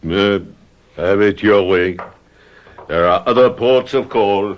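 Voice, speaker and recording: Radio drama: defiant sailor, gruff and curt, dull sound with faint noise. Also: male voice, on the low side, takes it slowly. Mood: surly, defiant. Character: surly, defiant sailor, rival suitor. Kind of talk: radio mystery drama acting.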